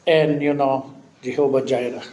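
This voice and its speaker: A man in his fifties with a Filipino accent preaching a sermon, often breaking in with amen and hallelujah and pausing on fillers. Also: medium pitch, fairly even intonation, mellow. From Philippines. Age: 50s. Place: church hall. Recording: echoey church hall with faint background noise.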